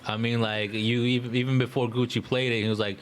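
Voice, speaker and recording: A man's voice: medium pitch, level tone, a little fast, slight background noise. A man in casual podcast chat, speaking in slang and acting out what other people said as he retells it. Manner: slangy, animatedly retelling with quoted asides. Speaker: podcast co-host.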